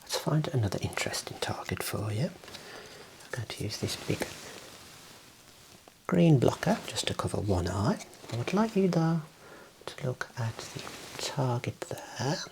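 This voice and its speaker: Slow whispering ASMR man. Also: mid-range voice, rough recording. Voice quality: soft, breathy whisper. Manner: calm, gentle, softly encouraging. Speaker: ASMR host playing an eye examiner. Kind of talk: ASMR roleplay, gentle instructions. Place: small room indoors.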